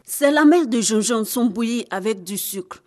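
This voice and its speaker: A woman giving scripted news narration as a reporter, in a measured, formal delivery. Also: a little high, animated, a little mellow.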